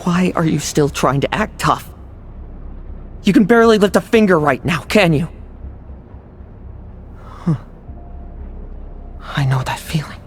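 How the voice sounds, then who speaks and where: A woman's voice: low voice, lively intonation, a little slow, pauses often. A woman voicing a stern, blunt young rival in a game, cutting, controlled and serious. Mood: grim, commanding. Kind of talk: dramatic video game voice acting. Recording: noisy background under the voice.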